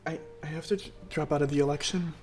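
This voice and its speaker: An actor playing an anxious, defeated teenage class president, hesitant and stammering. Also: male voice, fairly high pitch, fairly even intonation, fast talker, a little mellow, close to an everyday voice. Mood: apologetic.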